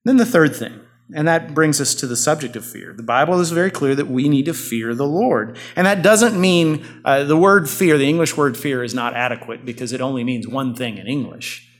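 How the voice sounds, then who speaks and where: A man's voice: medium pitch, moderate pace, hardly any pauses. Earnest man preaching a sermon. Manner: earnest, rising and falling preaching cadence. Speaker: pastor.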